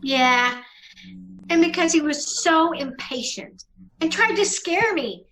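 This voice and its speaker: A woman's voice: very high voice, expressive, takes it slowly, a bit bright, heavily acted, rough recording. A woman playing a scheming, murderous bride, coy and sugary, in an old-time radio mystery. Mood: cold, scheming.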